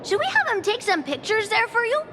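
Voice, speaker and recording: A very high, squeaky little game creature chatters eagerly, fawning over its new boss with a bouncy, swooping voice. The game music plays loudly behind. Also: female voice, sing-song, brisk. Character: peppy scheming creature gossip-magazine worker.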